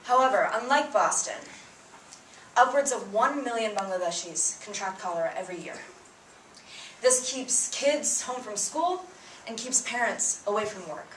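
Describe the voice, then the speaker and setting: A woman's voice: medium pitch, lively intonation, moderate pace, fairly bright tone, slight background noise. A young woman student, a native Bengali speaker, giving a prepared public talk in an earnest, emphatic way, lifting her voice at the start of each point. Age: young adult. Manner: earnest, emphatic, rising on openers. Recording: roomy sound, mic at a distance.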